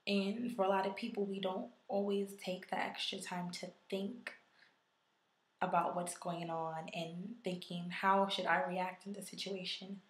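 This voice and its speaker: A woman talking casually and thoughtfully in a video response, hoarse because she is losing her voice from illness. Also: fairly low pitch, level tone, unhurried, roomy sound. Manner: conversational, reflective, with frequent ums. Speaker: YouTuber.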